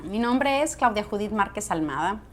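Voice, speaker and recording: A woman's voice: medium pitch, animated, normal speed, slight background noise. A woman with a Sonoran Mexican accent, musing aloud and searching for examples in an interview. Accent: Sonoran Mexican Spanish.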